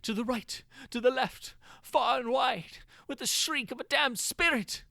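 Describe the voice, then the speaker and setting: A man's voice: very high voice, measured pace, vocal fry, pushed and bright, dry recording, clean studio sound, pitched above the performer's own voice, full character voice. Man acting a tormented, anguished gothic prisoner. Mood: frantic terror. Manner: theatrical, anguished, archaic diction. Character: tormented male prisoner recounting his agony and terror.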